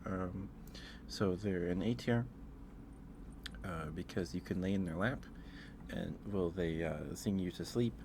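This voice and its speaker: Low, unhurried man chatting on ASMR stream. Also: gravelly, noisy background. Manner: hesitant, rambling, repeats phrases. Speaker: livestream host. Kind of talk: casual ASMR livestream chat.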